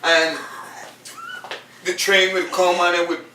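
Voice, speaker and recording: A man from Costa Rica with a Jamaican English accent tells his stories with lively energy. His voice is bright and a touch high for a man. He is heard from some distance in a roomy space. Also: takes it slowly, slight background noise. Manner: animated storytelling, says "you know what I mean". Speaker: musician guest. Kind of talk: casual interview chat. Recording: roomy room, distant mic.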